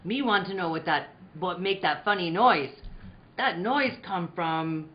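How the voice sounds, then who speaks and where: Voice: medium pitch, unhurried, dull audio, lightly acted. An actor playing a loyal, curious boy sidekick in an old-time radio western, in clipped, stilted broken English.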